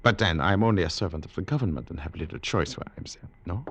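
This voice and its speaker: An actor playing a courteous, sly colonial government official in a 1940s radio drama, speaking formally with polite insinuation. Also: male voice, deep voice, expressive, fast talker, vocal fry, slightly rough, lo-fi sound, heavily acted. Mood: sly, amused. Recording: noisy vintage radio recording.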